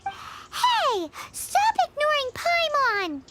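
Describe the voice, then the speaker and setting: Very squeaky childlike game companion. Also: female voice, huge pitch swings, extremely slow, exaggerated voice. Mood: indignant. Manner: speaks of herself in the third person, exclamatory. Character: small childlike floating girl companion, chirpy and blunt. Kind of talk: video game voice acting.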